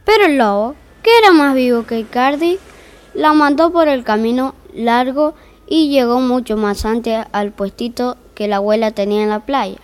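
A woman's voice: fairly high pitch, moderate pace, lightly acted. A woman playing an exasperated, bossy narrator in a comic fairy-tale audio drama. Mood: storytelling. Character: exasperated, bossy storyteller wrangling unruly characters.

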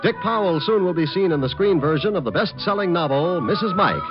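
A man's voice: sits high, expressive, brisk. A man reading the closing credits and network promotions as a 1950s radio announcer. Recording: dull old radio broadcast with music.

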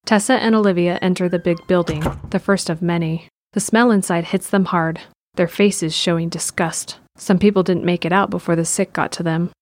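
A woman's voice: fairly low pitch, steady tone, normal speed. A woman reading scripted narration for an audio drama.

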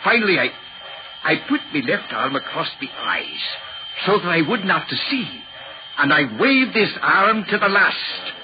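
An actor playing an anguished, respectful train driver giving a haunted account in a 1940s radio horror drama. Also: male voice, high-pitched, very animated, unhurried, over music. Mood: grief-stricken. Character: anguished, deferential train driver recounting a fatal accident. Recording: noisy, muffled 1940s radio broadcast.

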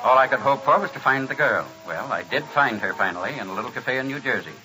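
Actor as glib, lively Broadway schemer narrating. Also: male voice, mid-range voice, fast, over music, lightly acted, rough recording. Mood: wry storytelling. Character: smooth-talking scheming Broadway producer, a man. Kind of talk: old-time radio drama acting with first-person narration.

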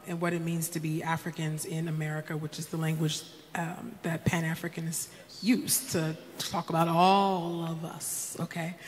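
A woman speaking as a panelist, conversational with wry asides. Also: deep voice. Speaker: panelist and advocate. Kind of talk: panel discussion. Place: conference hall stage. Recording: echoey hall, faint noise.

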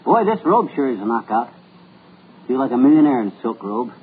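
Folksy, bragging radio-comedy husband, lively pitch, tinny old broadcast. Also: male voice, fairly quick, slightly rough. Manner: folksy, bragging comic patter. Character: boastful good-natured middle-aged husband.

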